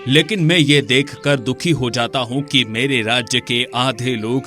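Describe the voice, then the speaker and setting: A proud king of a moral tale, acted by a man. His voice is forceful and sharp at an ordinary male pitch, speaking with royal authority. Soft music plays underneath. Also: a little slow. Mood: earnest, resolute. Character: proud male kings, one generous, one merciless. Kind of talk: dramatised Hindi moral story.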